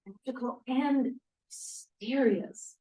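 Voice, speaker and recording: A woman's voice: fairly high pitch, takes it slowly, dark tone. A woman playing a sassy, wisecracking young college student in a 1940s stage play at a table read. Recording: echoey room, distant mic.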